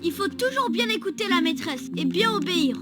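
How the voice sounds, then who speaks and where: A woman's voice: very high voice, animated, a little fast. A woman voicing a small, earnest schoolchild in a children's cartoon. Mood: sulky. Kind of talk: animated children's cartoon acting. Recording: loud music behind the voice.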